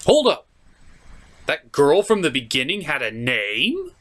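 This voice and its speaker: Comedic movie reviewer, very animated pitch, sarcastic, mock-exasperated, scripted recap delivery. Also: unhurried, soft background music. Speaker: host reviewer.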